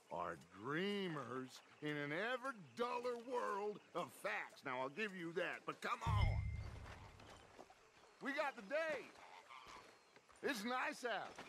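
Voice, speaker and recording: A middle-aged American outlaw gang leader in a western game. He talks in grand, persuasive oratory, high for a man and very animated. Outdoor noise and horses are clearly heard behind him. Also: full character voice. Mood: breezy. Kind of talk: dramatic western game cutscene acting.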